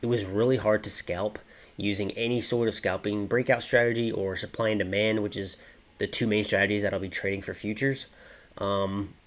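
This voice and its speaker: Young male vlogger, nasal and congested, laid-back and filler-heavy, a bit low-pitched. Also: normal speed. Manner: relaxed, many ums and you-knows. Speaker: trading vlogger. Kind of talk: casual screen-share walkthrough.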